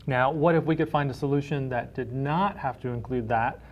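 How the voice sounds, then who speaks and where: A man's voice: medium pitch, a little fast, lo-fi sound, slight background noise. A man being interviewed casually as a library director, explaining things through everyday hypothetical examples.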